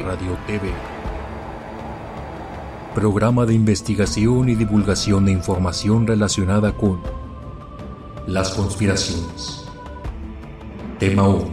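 A man reads a scripted radio show intro in a low voice. The delivery is dramatic announcer narration, set over clearly audible music. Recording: voice over prominent music bed.

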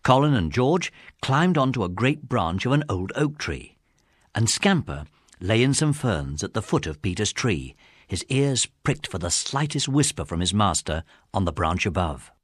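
Man narrating, lively pitch. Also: normal speed, slightly rough, no room sound, clean studio sound. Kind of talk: scripted children's story narration.

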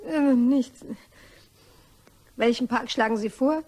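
An actress playing an exasperated, sarcastic blackmail victim in a radio play, quick to indignation. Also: female voice, fairly high pitch, darkish tone. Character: exasperated, sharp-tongued young lady being blackmailed.

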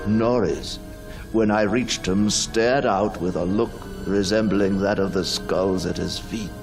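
An actor in a vintage radio drama playing a haunted, fearful gentleman narrator with a theatrical, dramatic delivery. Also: on the low side, steady tone, measured pace. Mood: brooding. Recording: old radio drama recording with music.

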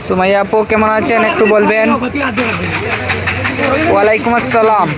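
A man's voice: high-pitched, flat delivery, normal speed. A man with a Bangladeshi accent hosting a casual livestream outdoors in a busy market area, speaking to commenters directly and repeating himself. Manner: repetitive, addressing commenters directly. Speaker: livestream host. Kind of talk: casual livestream chat with viewers. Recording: busy street crowd and traffic noise. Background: crowd chatter and vehicles.

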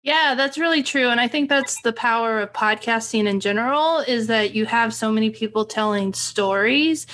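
A woman's voice: on the high side, normal speed, hardly any pauses, fairly bright tone. An American woman in her thirties hosting a podcast, chatting casually over a video call from a room at home. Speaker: podcast host. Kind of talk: casual conversational interview.

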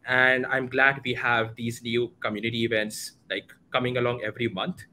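A young man with an Indian accent hosts a livestreamed meetup in a casual, informal way. His voice is bright and forward. He is on a headset at home, with some faint noise behind him. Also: medium pitch, little pitch movement, moderate pace. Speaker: host of a community meetup. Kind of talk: casual livestream event introduction. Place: home room, indoors, video call.

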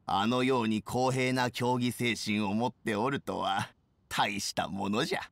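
A man voicing a dignified, approving old judge with measured, old-fashioned elderly speech in a Japanese game. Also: medium pitch, steady tone, vocal fry, a bit bright, no echo. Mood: calm approval. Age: older.

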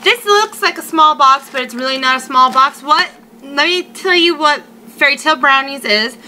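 Female food vlogger, 30s, high and bright voice, chatty and emphatic, casual home webcam review. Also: rough recording. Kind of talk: casual unboxing review to camera. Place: living room at home. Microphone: webcam or laptop mic at arm's length. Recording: faint noise, home webcam sound.

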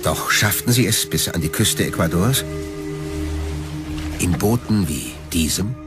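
Deep male documentary narrator, expressive intonation. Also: measured pace. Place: studio voice-over. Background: background music.

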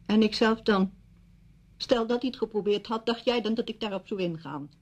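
An actress in a Dutch-language radio play voicing an indignant, hurt widow with a reproachful, emphatic manner. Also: female voice, mid-range voice, normal speed, darkish tone, lightly acted. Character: wounded, indignant widow confronting her former best friend. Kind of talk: radio drama acting.

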